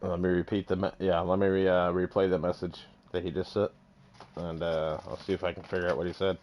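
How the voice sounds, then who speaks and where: A man's voice: deep voice, flat delivery, a little fast, darkish tone, a little hiss or hum. A man hosting a podcast in loose, profane casual talk, laughing easily. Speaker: podcast host.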